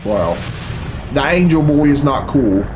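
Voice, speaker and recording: A man's voice: medium pitch, expressive, measured pace, background noise. A man, giving blunt, grumbling, sweary commentary as host of a reaction video. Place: small furnished room at home, indoors. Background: loud game cutscene music.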